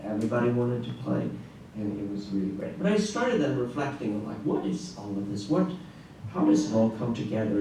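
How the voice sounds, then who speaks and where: A middle-aged man from Norway giving a conference talk as a classical guitarist, telling stories in an animated way with frequent restarts and "right?" asides. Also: medium pitch. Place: large echoey conference room. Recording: echoey room, distant mic, faint noise.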